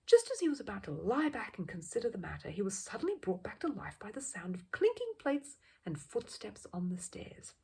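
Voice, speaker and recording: A woman narrating a children's story as a storyteller, expressively, with a husky, breathy voice. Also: medium pitch, a little mellow.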